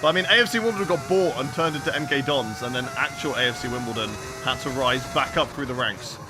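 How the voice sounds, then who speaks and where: A man's voice: medium pitch, quick pace, over music. A young man casually chatting with viewers while he plays a racing game on a live stream.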